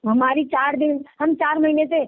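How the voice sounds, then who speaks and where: A woman's voice: high-pitched, steady tone, normal speed, no echo. A woman complaining in an agitated phone conversation, aggrieved and insistent, repeating herself over a narrow-band line. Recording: tinny, narrow-band phone call recording.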